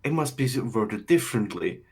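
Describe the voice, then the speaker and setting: A man's voice: on the low side, expressive, moderate pace, slightly rough. A man whose first language is German, chatting hesitantly on a live stream as a caller and searching for words. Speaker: guest on call. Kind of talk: casual chat.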